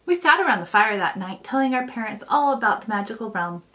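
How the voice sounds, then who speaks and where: A woman reading a children's story aloud in an expressive storytelling style. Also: a little high, quick pace, muffled recording, slight background noise. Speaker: children's author reading own book aloud.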